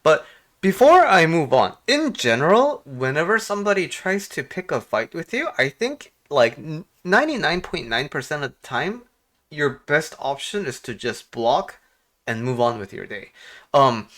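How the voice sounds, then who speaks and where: A man from Korea talking casually and reflectively to viewers as a life coach, halting often and posing rhetorical questions. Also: fairly high pitch, very animated, a bit bright. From South Korea. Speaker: life coach and video host.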